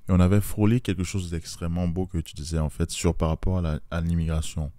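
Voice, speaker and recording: A man's voice: sits low, little pitch movement, quick pace, dark tone, dry recording, studio quality. A man hosting a podcast, asking earnest probing questions and adding disclaimers. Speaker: podcast host. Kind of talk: podcast interview.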